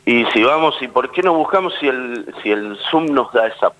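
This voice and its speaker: A man's voice: mid-range voice, lively intonation, normal speed, a bit bright, rough recording. A man with an Argentine accent talking casually as an actor being interviewed, often repeating words as he forms his thoughts. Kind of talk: casual radio interview talk.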